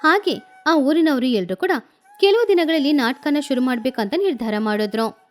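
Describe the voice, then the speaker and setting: A woman narrating a children's cartoon story in a gentle, clear storytelling manner. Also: sits high, lively intonation, moderate pace, soft background music.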